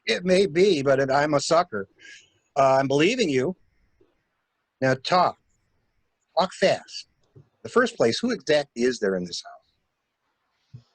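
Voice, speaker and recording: A man's voice: on the high side, big pitch swings, measured pace, long pauses, husky, no room sound, full character voice. A man playing a cool, insistent stranger in an amateur dramatic reading of a murder mystery. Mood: brisk, probing. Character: cool, insistent male stranger scheming to shield a suspect. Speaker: library staff reader.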